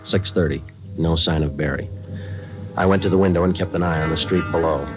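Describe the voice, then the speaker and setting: Man acting a low-voiced, clipped radio police sergeant. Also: fairly even intonation, fairly quick, close to an everyday voice, rough recording. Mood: clipped, businesslike. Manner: clipped, matter-of-fact. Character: terse, businesslike male police sergeant narrating his case. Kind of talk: 1940s radio acting, clipped hard-boiled delivery. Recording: old radio broadcast with music behind.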